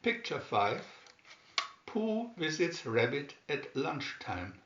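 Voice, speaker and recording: Male narrator for children's picture stories. Unhurried, very expressive delivery; dull-sounding home recording. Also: fairly high pitch, reverberant, a little hiss or hum. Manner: deliberate, with emphatic swoops on key words. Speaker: storybook reader. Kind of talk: scripted children's story narration, read aloud slowly and expressively.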